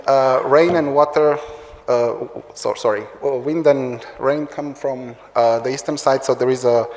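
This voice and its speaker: A man's voice: mid-range voice, darkish tone. A man with a Romanian accent giving a conference talk, hesitant with many fillers and restarts. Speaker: conference speaker, researcher. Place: large lecture hall. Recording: roomy long hall reverb.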